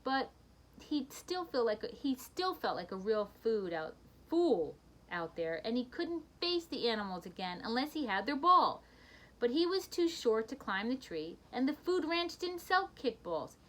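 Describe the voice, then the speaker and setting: A woman reading a children's picture book aloud in an expressive storytelling manner, with brief asides to her listeners. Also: a little high, measured pace, rough recording.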